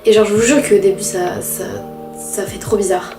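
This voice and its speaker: A teenage girl with a head cold, talking nasally through a candid, halting confessional vlog. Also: medium pitch, steady tone, echoey room, over music. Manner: halting, candid, many restarts and fillers. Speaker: vlogger.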